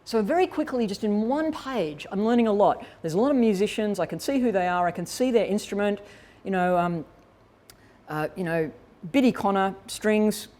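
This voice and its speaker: A woman's voice: medium pitch, moderate pace, darkish tone. A woman presenting a workshop in an informal, conversational way, explaining and thinking aloud as she demonstrates. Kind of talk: informal instructional talk to attendees.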